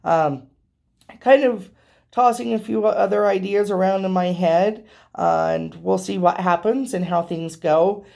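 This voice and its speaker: A woman hosting a casual tarot vlog who rambles chattily to her viewers, sounding hoarse and a little nasal from allergies. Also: medium pitch, a little slow, warm tone. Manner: rambling, chatty, with frequent ums. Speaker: tarot reader and channel host.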